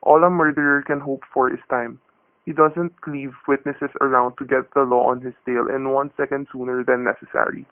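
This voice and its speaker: A man playing a quick-thinking hostage husband in a radio thriller, talking and narrating in a tense, hard-boiled way. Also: medium pitch, little pitch movement, close to an everyday voice. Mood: grim, tense. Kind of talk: radio thriller acting and first-person narration. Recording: dull, noisy radio-play recording.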